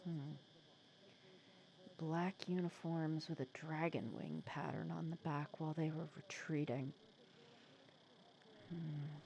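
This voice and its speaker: A woman playing a sly, secretly caring female supervillain in an intimate ASMR roleplay, casual and teasing, softly breathy. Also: deep voice, takes it slowly, pauses often, slight background noise, low-quality recording. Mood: puzzled, guarded. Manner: casual, teasing, persuasive, sardonic.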